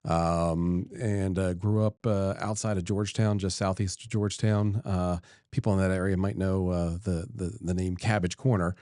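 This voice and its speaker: A middle-aged man from Delaware talks as an interview guest in an easygoing, folksy manner. His voice is very low and mellow. The recording is close and dry. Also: little pitch movement, no echo. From Delaware, USA. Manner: easygoing, folksy, unhurried storytelling. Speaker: state senator, guest. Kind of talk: casual interview.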